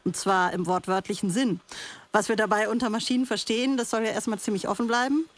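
A woman's voice: mid-range voice, steady tone, quick pace. A woman hosting a radio talk in a conversational style, articulate and often questioning. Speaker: radio host.

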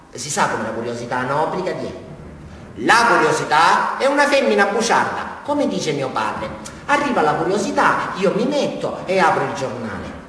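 An actor playing a nervous, childlike murder suspect who rambles through a confession in a southern Italian accent, in a halting, repetitive theatrical monologue. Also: male voice, mid-range voice, a little hiss or hum. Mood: animated, confiding. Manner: halting, repetitive, rambling, swinging between hushed and loud. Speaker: stage actor in a radio broadcast of a monologue. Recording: very echoey hall, far from mic.

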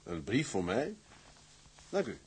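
Firm, determined seafaring captain. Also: male voice, lowish voice, huge pitch swings, takes it slowly, darkish tone, slightly rough, full character voice, rough recording. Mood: confident. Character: determined, ambitious seafaring tugboat captain, shrewd and proud. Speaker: actor playing a sea captain. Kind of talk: acted period drama dialogue.

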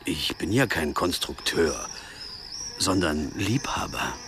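An actor playing a hesitant, understated young architecture graduate abroad in a German radio drama. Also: male voice, low voice, lively intonation, takes it slowly, a bit bright, a little hiss or hum, heavily acted. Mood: dry, hesitant. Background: music behind the voice.

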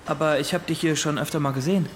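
An actor playing a naive, earnest young math nerd in a radio drama, speaking in a hesitant, awkward way. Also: male voice, fairly high pitch, fairly even intonation, fast talker, slight background noise, lightly acted. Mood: awkward, flustered. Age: young adult. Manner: hesitant, stammering.

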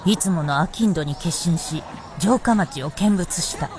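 A woman's voice: lowish voice, unhurried, slight background noise. A woman giving scripted narration for a radio drama.

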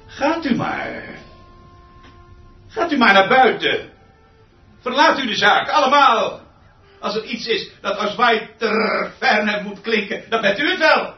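An actor playing an embittered, paranoid orchestra conductor in a radio drama, ranting theatrically in pompous, repetitive phrases. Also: male voice, very high voice, animated, takes it slowly, pauses often, exaggerated voice. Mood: furious, commanding. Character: manic, embittered, paranoid male orchestra conductor, grandiose and raving. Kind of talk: radio drama monologue acting. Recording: muffled roomy radio play recording with music.

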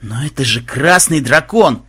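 A man's voice: medium pitch, expressive, unhurried, a bit bright, husky, heavily acted. A man voicing a wry, philosophical male game tester with dry, matter-of-fact remarks. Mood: hushed concern.

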